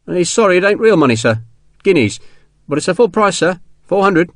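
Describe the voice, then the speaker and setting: A man's voice: sits high, sing-song, a little fast, fairly bright tone, no echo, voice pushed up, heavily acted. Audiobook part: deferential, stubborn soldier from the ranks, colloquial, bouncy intonation, dry close recording, voiced by a male narrator. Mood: wooden, apologetic. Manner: colloquial, punctuated with deferential sirs. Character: rough-spoken army sergeant, deferential, stubborn, slyly innocent. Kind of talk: audiobook character dialogue.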